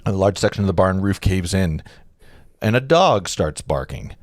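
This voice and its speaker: Low-voiced man reading script directions. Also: animated, moderate pace, no echo, clean studio sound. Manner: stumbling, self-correcting, amused asides. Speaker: narrator at a table read. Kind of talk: informal live reading of screenplay directions.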